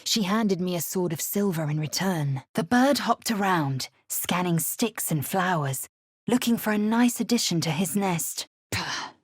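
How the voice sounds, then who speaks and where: A woman playing a proud, stern githyanki warrior woman in a video game, with a husky rasp and a clipped, imperious, formal delivery. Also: on the low side, lively intonation, unhurried, no echo, studio quality. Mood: scornful. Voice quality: husky, rasping. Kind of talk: dramatic game dialogue and narration.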